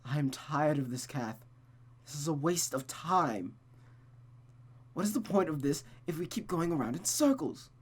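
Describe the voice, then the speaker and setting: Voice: medium pitch, sing-song, unhurried, husky. A performer voicing an exasperated, weary man arguing in a visual novel. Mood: weary, pleading.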